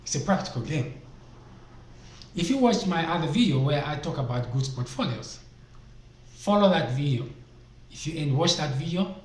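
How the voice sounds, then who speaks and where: A man from Zambia giving business advice as a vlogger, emphatic and fond of rhetorical questions, speaking into a desk microphone. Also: a little high. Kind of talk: casual motivational talk to camera. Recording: echoey room, faint background noise.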